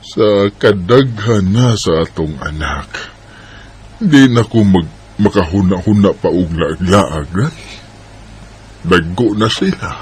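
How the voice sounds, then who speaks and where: A man playing an easygoing husband and father of a big family in a radio drama, husky, with a Filipino Bisaya accent. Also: low-pitched, animated, takes it slowly, a little mellow, heavily acted. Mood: fond, reflective.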